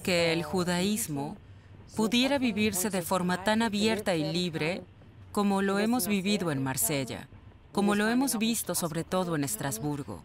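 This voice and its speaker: A woman voicing a traveler's personal reflections for a documentary dub, at times halting. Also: mid-range voice, natural voice.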